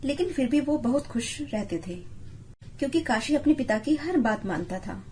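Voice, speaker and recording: A woman's voice: high-pitched, expressive, normal speed, warm tone, slight background noise. A woman giving scripted narration of a moral story as its storyteller.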